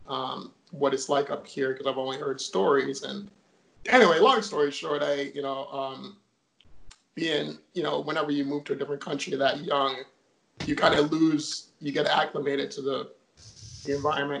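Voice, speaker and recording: A young man from Jamaica talks as an interview guest, his voice slightly high and full of gravelly fry. He tells a long personal story in a loose, chatty way with plenty of fillers. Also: a bit bright, low-quality recording. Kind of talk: casual interview chat.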